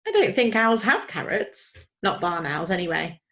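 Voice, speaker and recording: A woman voicing an eager, hungry little owlet boy who is afraid of the dark, with a high piping voice and an earnest, plaintive manner. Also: animated, moderate pace, highs cut off.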